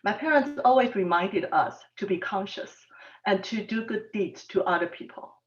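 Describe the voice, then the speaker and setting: Middle-aged woman from Taiwan, soft-spoken and hesitant, mid pitch, heard from afar on a lo-fi call. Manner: soft-spoken, frequent ums, halting. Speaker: mathematics professor, panelist. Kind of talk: personal talk at an online panel. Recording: distant, dull lo-fi sound.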